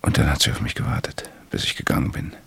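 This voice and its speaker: A man acting a gentle husband who soothes his wounded wife in an intimate radio play, speaking in a hushed, tender, husky way. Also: quick pace, in a whisper, close to an everyday voice. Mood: earnest, defensive. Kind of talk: intimate naturalistic German radio drama acting.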